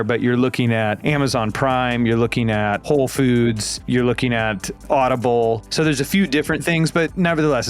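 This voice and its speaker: A man hosting a podcast in a conversational, informal and enthusiastic way. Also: medium pitch. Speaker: podcast host. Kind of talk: conversational podcast hosting about audio advertising.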